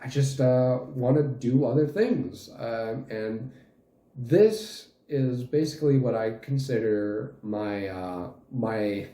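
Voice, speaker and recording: A man in his late twenties giving a casual channel update in a halting, rambling way. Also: mid-range voice, warm tone. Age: late 20s. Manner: halting, rambling, frequent chuckles mid-sentence. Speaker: channel host and fan dub group leader. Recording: roomy echo, faint music behind.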